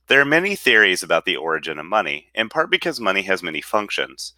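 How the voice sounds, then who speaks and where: A man's voice: deep voice, sharp tone, dry recording. A man reading an article aloud with careful enunciation. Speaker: reader.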